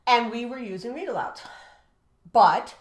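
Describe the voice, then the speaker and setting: High-voiced American woman, emphatic teacher. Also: animated, unhurried. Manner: emphatic, acts out dialogue. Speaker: teacher co-hosting an education show. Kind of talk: animated storytelling and teaching advice. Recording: echoey room.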